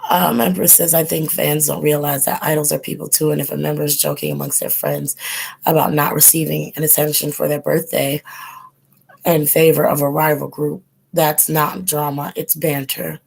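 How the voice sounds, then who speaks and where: A woman's voice: low voice, flat delivery, normal speed, creaky, a little mellow, husky, low-quality recording. A woman hosting a casual livestream, rambling with lots of ums and likes while chatting with viewers, her voice giving out. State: losing her voice. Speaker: host of a tarot channel, chatting with live viewers.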